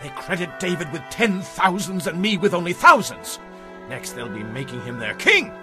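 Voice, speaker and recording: A man's voice: fairly high pitch, sing-song, normal speed, music in the background. An actor playing a jealous, scheming king in a dramatized audio Bible, with a theatrical, emphatic manner. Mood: indignant. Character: jealous, scheming king plotting a rival's death.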